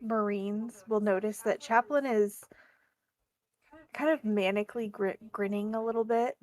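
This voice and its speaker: A woman's voice: medium pitch, fairly even intonation, normal speed, a little mellow. A woman playing a tabletop roleplaying game, chatting casually as she speaks for her character.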